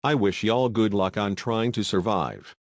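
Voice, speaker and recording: A man's voice: lowish voice, measured pace, no echo. A man playing a folksy TV news host delivering grave warnings in a mock breaking-news broadcast. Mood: serious, concerned. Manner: folksy, addresses viewers as y'all.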